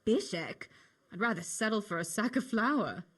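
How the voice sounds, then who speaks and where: A woman's voice: mid-range voice, expressive, normal speed, a bit bright, breathy edge. A young woman playing a wry, reluctant miller's daughter in a medieval video game, with a dry manner. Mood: wry, resentful. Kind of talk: video game cutscene acting.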